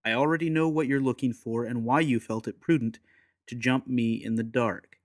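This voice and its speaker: Steampunk audiobook secret agent, played by a man: menacing gentleman, deliberate, courteous, ruthless, very dry recording. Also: medium pitch, no room sound, lightly acted. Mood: cold menace. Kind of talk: dramatised audiobook acting.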